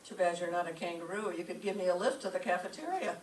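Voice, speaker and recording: A woman's voice: fairly low pitch, lively intonation, fast, natural voice. A woman playing a wry, sarcastic schoolteacher in an old-time radio comedy. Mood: dry, sardonic. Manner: dry, sarcastic wisecracking. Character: wry, sardonic female schoolteacher. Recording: roomy, distant microphone, faint noise.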